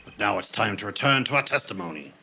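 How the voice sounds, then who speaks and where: Man acting a gravelly, pompous elderly judge. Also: fairly low pitch, expressive, pushed and bright, slight background noise, heavily acted. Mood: formal. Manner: pompous, deliberate courtroom pronouncements. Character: elderly grandfatherly male judge, solemn yet easily impressed. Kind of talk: live fan dub of a video game.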